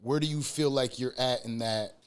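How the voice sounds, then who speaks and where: A man's voice: mid-range voice, normal speed, clear, resonant tone. A man hosting a casual interview, talking in an appreciative, informal way and circling hesitantly toward his questions. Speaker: podcast host.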